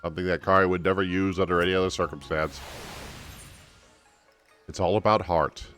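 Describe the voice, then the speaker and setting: A man's voice: low voice, level tone, fairly quick, a bit bright, soft background music. A man casually streaming a game and reading its dialogue aloud.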